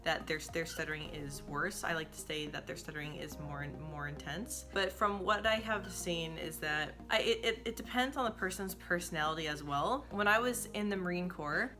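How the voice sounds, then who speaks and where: A woman's voice: lowish voice, pushed and bright. A young woman from the United States who stutters, talking casually to camera about her own experience, with frequent repeated sounds and restarts.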